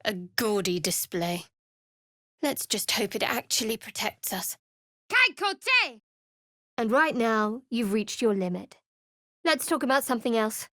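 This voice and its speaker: A woman voicing a guarded, sardonic young cleric of a dark goddess in a fantasy video game, with a dry, wry, measured delivery. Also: a little high, very animated, no room sound, heavily acted. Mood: urgent incanting, then cold, stern.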